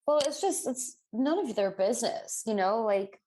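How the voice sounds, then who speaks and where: A woman co-hosting a podcast in casual chat, animated and acting out quoted people with a raised voice. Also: on the high side, normal speed, a little hiss or hum. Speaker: podcast co-host.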